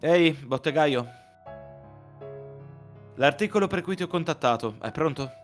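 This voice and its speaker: A man's voice: fairly high pitch, animated, normal speed, faint music behind, close to an everyday voice. A man voicing a curt, imperious male customer in a visual novel, cool and commanding.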